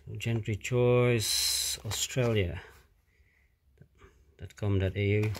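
Slow-talking Filipino-accented man. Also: lowish voice, steady tone. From Philippines. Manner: halting, many fillers and restarts. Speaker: product reviewer. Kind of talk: casual unboxing commentary. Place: carpeted room indoors, off camera.

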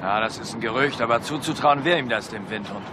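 A man's voice: medium pitch, brisk, natural voice. An actor playing a blunt, grudging male construction-site employee under questioning in a radio play. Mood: grudging, gossipy. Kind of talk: German radio play acting. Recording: noisy outdoor site with vehicle ambience.

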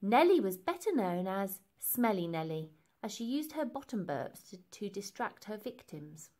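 A woman reading a children's story aloud in an expressive, deliberate and emphatic way. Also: mid-range voice. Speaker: storybook reader.

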